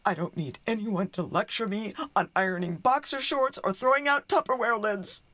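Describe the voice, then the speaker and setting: A woman's voice: mid-range voice, moderate pace, a bit bright, no room sound, close to an everyday voice. A woman playing a hurt, sarcastic middle-aged wife and mother in a radio play, speaking with open emotion. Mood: bitter, anguished.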